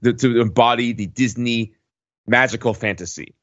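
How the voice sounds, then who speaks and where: A man on a podcast casually recounting an experience in a wordy, halting way, often correcting himself. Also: medium pitch, fairly bright tone. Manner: wordy, halting delivery with frequent hedging and self-corrections. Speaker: podcast regular recounting a trip. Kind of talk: casual chat, rambling storytelling. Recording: dull lo-fi, roomy echo.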